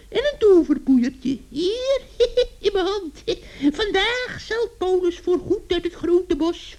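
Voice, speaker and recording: A man's voice: extremely high pitch, gravelly, a little mellow, voice pushed up, full character voice. A man voicing a wicked old female witch in a children's radio play, gleeful, theatrical and mock-sweet as she cackles and taunts.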